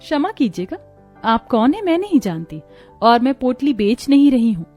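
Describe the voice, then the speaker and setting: A woman's voice: sits high, very animated, moderate pace, darkish tone, faint music behind. A woman voicing a modest, hardworking young village seamstress in a cartoon, polite and earnest. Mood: polite, hesitant.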